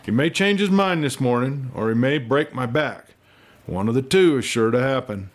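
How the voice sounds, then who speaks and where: A man's voice: mid-range voice, expressive, moderate pace, lightly acted. A man voicing a genteel doctor in a western audiobook, wry and jaunty, with formal, dryly humorous phrasing. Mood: wry, cheerful.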